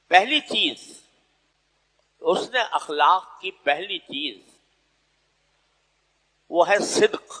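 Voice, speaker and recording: A man delivers a religious sermon in a somewhat high-pitched voice that rings out with long hall reverb. He explains formally and humbly, setting out his points in short, deliberate phrases with pauses between them. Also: fairly bright tone. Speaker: Islamic preacher addressing listeners. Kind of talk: sermon, unscripted religious exposition.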